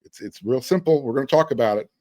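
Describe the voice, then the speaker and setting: A man's voice: mid-range voice, quick pace, a little mellow, no echo. A man hosting a sports podcast, talking in a conversational way with emphatic phrasing. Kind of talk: casual sports talk with read-out sponsor spots.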